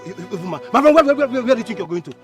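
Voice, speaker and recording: An actor playing a hot-headed, defiant younger brother in a Nigerian film drama, with a Nigerian accent and insistent, stammering repetitions. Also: male voice, high-pitched, big pitch swings, very fast, soft background music. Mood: furious, taunting. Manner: stammering, repeats words insistently.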